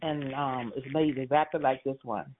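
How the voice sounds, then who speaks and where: A man's voice: medium pitch, steady tone, moderate pace, noisy background. Hesitant man in his sixties on a phone line. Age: 60s. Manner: hesitant, rambling with many fillers and short laughs. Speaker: homeowner on a phone call.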